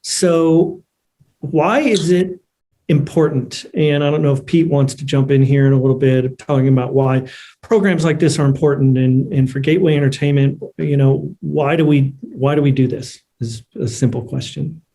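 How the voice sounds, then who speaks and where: A man's voice: fairly high pitch, moderate pace, warm tone. A man casually moderating a panel and putting questions to guests.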